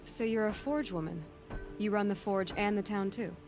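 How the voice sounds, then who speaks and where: An earnest young woman hunter , voiced by an actress with a middle-pitched voice. She is direct and inquisitive, with a dry edge, as a game heroine. Music runs clearly behind her. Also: normal speed, close to an everyday voice. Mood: curious, direct. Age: young adult. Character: earnest, determined young female machine hunter. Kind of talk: video game character acting. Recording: music under the voice.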